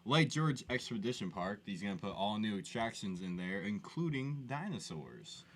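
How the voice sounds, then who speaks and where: A man's voice: lowish voice, very animated, normal speed. A man co-hosting a podcast with casual, slangy, conversational talk. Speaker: podcast co-host. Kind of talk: casual chat.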